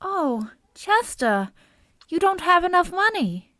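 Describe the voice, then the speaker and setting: A woman's voice: very high voice, sing-song, slow pace, dry recording, clean studio sound, exaggerated voice. A woman playing a fretful, sensible date. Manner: fretful, gently pleading. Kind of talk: vintage radio comedy acting.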